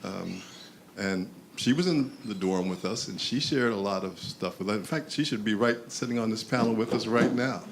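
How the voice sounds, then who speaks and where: An older man near retirement, reminiscing on an alumni panel in a relaxed, rambling way. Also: fairly low pitch, normal speed, slight background noise.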